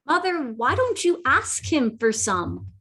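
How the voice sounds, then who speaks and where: A woman's voice: high voice, big pitch swings, slow, pushed and bright, exaggerated voice. A woman playing a polite, earnest, obedient young boy in a radio play.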